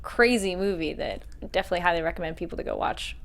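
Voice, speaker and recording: A woman's voice: on the low side, rapid-fire, a bit bright. A woman hosting an interview, asking questions in a friendly, chatty way and backing the guest up with small agreements. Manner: friendly, chatty, laughs often, backchannels with mm-hmm. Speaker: interview host.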